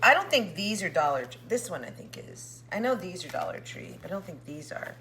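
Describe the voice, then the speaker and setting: A woman hosting a casual craft vlog, a little hoarse, chatting excitedly as she opens a package. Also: fairly low pitch, a little fast, slight background noise. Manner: chatty, excitable, jokes with a pet. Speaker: craft channel host.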